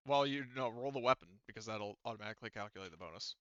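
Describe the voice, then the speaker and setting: A man's voice: fairly low pitch, rapid-fire, fairly bright tone, clean tone, no room sound, lo-fi sound. A man acting as game master, patiently explaining the rules of a tabletop roleplaying game step by step.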